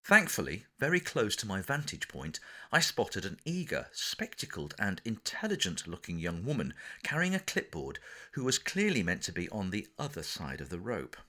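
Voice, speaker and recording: A man's voice: lowish voice, huge pitch swings, normal speed, pushed and bright. A man with an English accent reading his own memoir for an audiobook, telling the anecdote with theatrical, dramatic emphasis. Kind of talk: audiobook narration, scripted anecdote.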